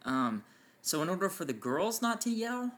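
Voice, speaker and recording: A man with a loud voice co-hosting a casual parenting podcast, explaining his points with enthusiasm. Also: high-pitched, big pitch swings, moderate pace. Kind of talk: casual chat. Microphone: farther from mic than co-host.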